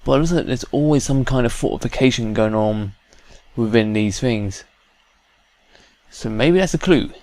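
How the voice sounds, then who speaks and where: A man's voice: mid-range voice, moderate pace, a little mellow, dry recording. A man giving casual let's play commentary, thinking aloud and often repeating words as he goes. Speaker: gamer.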